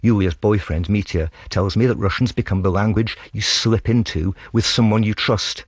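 A man with a very low, husky voice narrates a scripted dispatch as a foreign correspondent. His delivery is measured and literary, like polished radio storytelling. The sound is close and dry. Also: fairly even intonation, no room sound.

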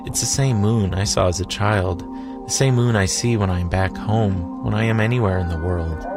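A man's voice: fairly low pitch, normal speed. A man narrating a travel documentary in a dry, wry, reflective way. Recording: voice-over with music behind.

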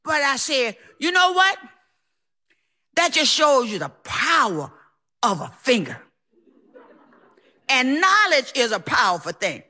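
A woman's voice: high-pitched, sing-song, takes it slowly, long pauses, sharp tone. A woman telling a personal story in a theatrical, emphatic, folksy way, acting out what people said. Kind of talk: live personal storytelling on stage.

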